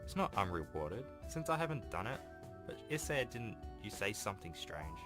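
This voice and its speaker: A man's voice: on the low side, very animated, normal speed. A man voicing an earnest, defensive teenage schoolboy who stammers and protests as he reads a visual novel. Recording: voice over game music.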